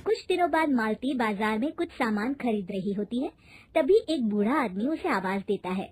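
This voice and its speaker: A woman narrator tells a scripted moral tale. Her voice is very high and somewhat husky. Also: lively intonation, normal speed.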